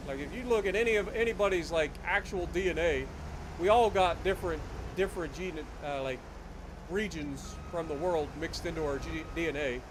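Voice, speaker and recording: A man's voice: on the high side, normal speed. Talkative Ohio man streaming, noisy background. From Ohio, USA. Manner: rambling, talkative, answers chat. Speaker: livestreamer. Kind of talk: casual livestream chat while gaming. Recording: steady background noise.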